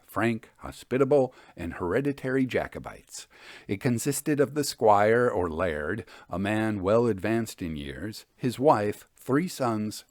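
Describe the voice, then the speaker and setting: A man hosting and narrating old ghost stories in a measured, theatrical storytelling manner. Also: lowish voice, a little mellow, no echo, studio quality. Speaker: host and storyteller.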